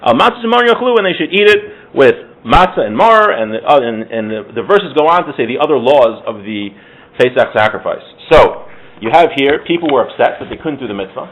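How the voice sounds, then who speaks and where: A man's voice: mid-range voice, animated, a little fast, a bit bright, low-quality recording. A man giving an informal religious lesson in a conversational, explanatory way, as a teacher reading verses and translating them. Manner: conversational, explanatory, with rhetorical questions and self-corrections. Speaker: teacher giving a Torah class.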